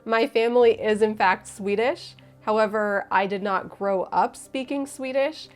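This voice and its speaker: A woman's voice: mid-range voice, unhurried. A young woman with an American accent, giving a scripted, earnest explanation of a historical sewing project. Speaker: costume maker.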